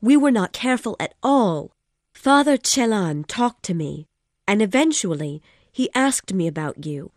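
A man voicing an angry, heartbroken noblewoman in an audiobook, loud and shrill. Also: sing-song, a little slow, no echo, studio quality, heavily acted. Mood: upset. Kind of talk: audiobook reading.

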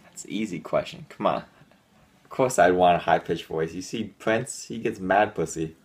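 A man's voice: low voice, moderate pace, breathy edge. A man in his twenties co-hosting a fitness channel, cracking jokes in casual chat in a small room. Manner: joking, tosses in quips and movie quotes. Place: small indoor room at home.

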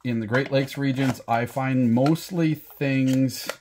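A man's voice: medium pitch, steady tone, takes it slowly, a little mellow. A man with an American accent giving a casual, plain-spoken product demonstration, instructing step by step. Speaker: product demonstrator and rock hunter. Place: small indoor room at a table. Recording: clearly audible background noise.

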